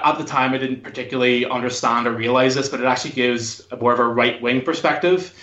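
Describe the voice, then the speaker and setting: A man's voice: medium pitch, fairly bright tone. A man in his thirties with a Belfast, Northern Irish accent, chatting casually as an interview guest in a discursive way. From Northern Ireland. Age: 30s. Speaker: podcast guest. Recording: dull lo-fi sound, echoey room.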